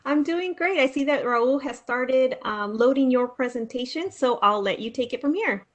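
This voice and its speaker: A woman's voice: high-pitched, expressive, normal speed. A woman hosting a webinar over a video call, introducing a guest in an upbeat, enthusiastic way. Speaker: webinar host.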